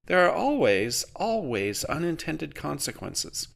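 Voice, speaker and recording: A defiant young rebel woman with a sarcastic edge, pitched well above the reader's own voice, as a man puts her on. She rouses a crowd and mocks grand claims with a lively, swinging delivery. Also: measured pace, gravelly, exaggerated voice. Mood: scornful. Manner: sarcastic, rousing.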